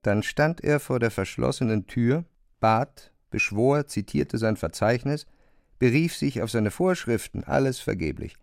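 A man's voice: medium pitch, expressive, a little slow, gravelly, dry recording, faint music behind. A man reading scripted literary narration for an audio drama.

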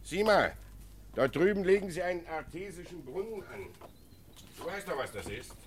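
Fatherly judge, animated intonation. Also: high-pitched, slight background noise. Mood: cheerful, instructive. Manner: measured, didactic, formal phrasing. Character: fatherly, learned senior judge, patient and kindly. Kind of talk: radio drama acting.

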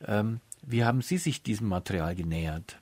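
A man's voice: fairly low pitch, animated, fairly quick, a little mellow, dry recording. A man hosting a radio interview, putting prepared questions and presenting in an orderly way.

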